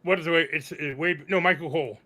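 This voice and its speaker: Bright-voiced man, casual co-host. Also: a little high, fast talker. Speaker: reaction show co-host. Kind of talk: casual music discussion, reaction chat.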